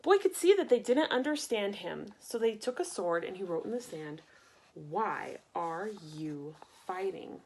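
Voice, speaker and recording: A woman reading a picture book aloud for children in an expressive, deliberate way, acting out the story. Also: fairly high pitch, a little hiss or hum. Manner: animated, deliberate, acts out sound effects. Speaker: storybook reader.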